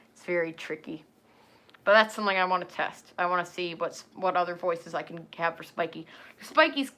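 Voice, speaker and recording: Rambling young woman, bright voice. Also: on the low side. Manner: rambling, filler-heavy, talks to chat. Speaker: furry livestreamer. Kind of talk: casual livestream chat.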